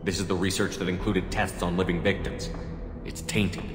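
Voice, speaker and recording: A man playing a firm, level-headed military commander in a video game, direct and questioning, with quiet authority. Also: sits low, flat delivery, moderate pace, close to an everyday voice. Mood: steady, measured. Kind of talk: video game cutscene acting. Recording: echoey hall reverb under clear background music.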